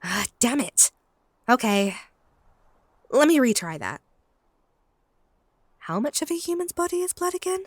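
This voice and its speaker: A woman's voice: high voice, sing-song, a little slow, long pauses, no echo, heavily acted. A woman playing a haughty, possessive, lovestruck vampire in a comedic audio roleplay, snide and theatrical. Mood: flustered. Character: possessive, lovestruck female vampire, bickering and flustered.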